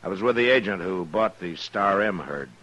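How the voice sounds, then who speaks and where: Deep-voiced stern Old West marshal. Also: male voice, expressive, normal speed, a bit bright, lo-fi sound. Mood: measured. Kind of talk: old-time radio western acting. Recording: noisy vintage radio recording.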